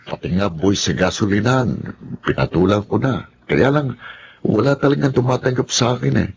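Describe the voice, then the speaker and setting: A man's voice: fairly low pitch, animated, normal speed, vocal fry, lo-fi sound, slight background noise. A man acting in a Filipino drama as a poor, humble husband who is apologetic and weighed down by guilt. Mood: apologetic, weary.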